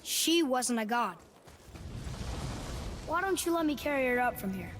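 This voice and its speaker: A high, youthful voice of a brash boy who thinks himself a god, in a video game. He is defiant and petulant, with clear noise behind him. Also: animated, a little slow. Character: cocky, petulant young demigod boy. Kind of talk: video game character acting.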